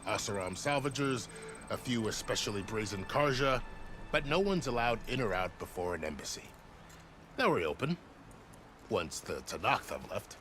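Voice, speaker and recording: An actor playing a genial, wry second-in-command army officer in a video game, courteous and gently teasing. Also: male voice, on the low side, very animated, normal speed, a little hiss or hum. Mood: wry, explanatory. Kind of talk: video game cutscene acting. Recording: music behind the voice.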